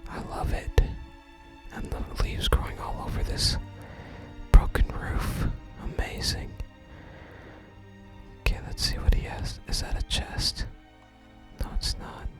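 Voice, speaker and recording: Someone whispers softly and gently through an ASMR video game playthrough, making unhurried remarks. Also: music in the background. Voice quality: unvoiced whisper. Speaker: game streamer.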